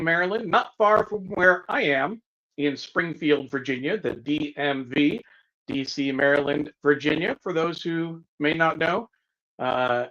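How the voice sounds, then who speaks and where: A man with a slightly high voice hosts a casual livestream. He speaks haltingly as he reads out announcements and greetings. The audio is a little dull and lo-fi. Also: a bit bright. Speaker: co-host of a news discussion livestream.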